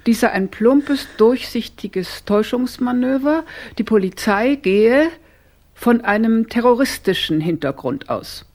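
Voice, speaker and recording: An actress playing a brisk, commanding gang leader, precise and matter-of-fact, in a radio crime drama. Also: female voice, a little high, expressive, darkish tone, a little hiss or hum. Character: brisk, commanding woman leading an amateur gang.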